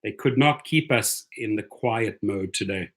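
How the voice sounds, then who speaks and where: A man's voice: fairly low pitch, unhurried. Upbeat man hosting a livestream. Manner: upbeat, repeats phrases, gives shout-outs. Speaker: livestream host. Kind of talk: casual livestream chat, reading out viewers.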